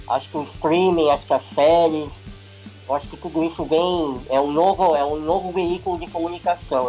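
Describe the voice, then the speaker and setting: A middle-aged man tells his career stories in a loose, rambling way. His voice sits a little high, with faint music underneath. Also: poor audio. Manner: relaxed, rambling storyteller. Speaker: TV producer and director, guest. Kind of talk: casual podcast interview.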